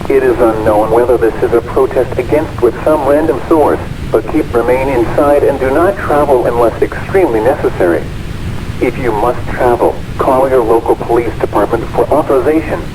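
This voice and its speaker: A man's voice: medium pitch, normal speed, pauses often, poor audio. A man reading a scripted emergency alert announcement.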